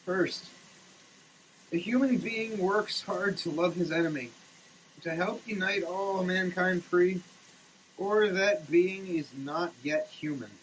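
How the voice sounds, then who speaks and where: A man giving a theatrical, declamatory dramatic reading, swinging between hushed delivery and shouting, as a comic performance. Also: high-pitched, takes it slowly, slight background noise, poor audio. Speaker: sketch comedy performer. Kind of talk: dramatic reading of a product label. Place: shower.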